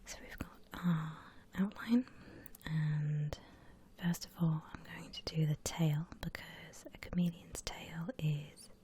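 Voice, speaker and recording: A woman gently whispers an ASMR drawing tutorial in a breathy voice, explaining each step without hurry. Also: sits low, steady tone, slow.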